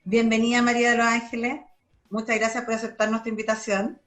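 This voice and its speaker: A woman hosting a radio interview, speaking haltingly with many fillers and restarts. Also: a little high, level tone, fairly bright tone. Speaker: radio host.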